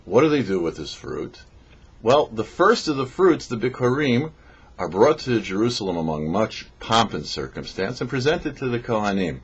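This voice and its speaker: A man's voice: on the low side, very animated, normal speed, breathy edge. A man reading a scripted religious lesson as a rabbi, in a didactic manner that poses questions and then answers them. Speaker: rabbi giving a weekly Torah portion talk. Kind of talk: scripted religious teaching, read aloud. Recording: dull, slightly lo-fi recording.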